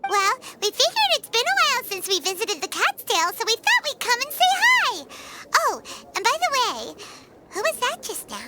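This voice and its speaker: A woman voicing a tiny, excitable, childlike fairy companion in a video game, squeaky and chirpy. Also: normal speed, slight background noise, heavily acted. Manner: chirpy, speaks of self in third person. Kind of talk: video game character dialogue.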